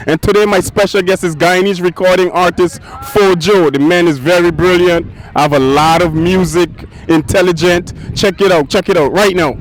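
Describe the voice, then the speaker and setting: A man hosting a casual street interview, upbeat and quick with short affirmations. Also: sits high, fairly even intonation, rough recording. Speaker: interview host.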